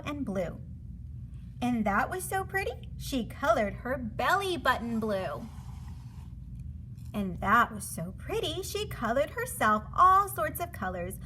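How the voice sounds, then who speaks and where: A woman narrating a children's storybook aloud in an expressive read-aloud style. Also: sits high, a little hiss or hum, rough recording. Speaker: storybook reader.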